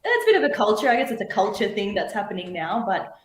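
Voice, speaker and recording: A woman's voice: medium pitch, sing-song, quick pace, reverberant. A woman hosting a podcast interview over a video call, offering frequent short affirmations and thoughtful follow-up questions. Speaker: podcast host. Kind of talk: casual interview chat.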